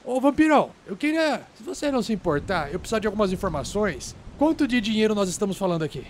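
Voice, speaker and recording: A man's voice: high-pitched, very animated, fast talker, a little hiss or hum. A man, a tabletop player who jokes and teases in character. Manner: teasing, playful. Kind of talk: joking in-character banter.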